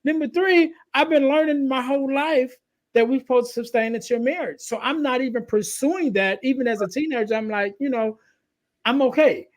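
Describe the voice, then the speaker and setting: A soft-voiced man hosting a podcast, talking in an animated, casual conversational way. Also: very high-pitched, brisk. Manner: animated, frequent "you know what I mean". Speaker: podcast host.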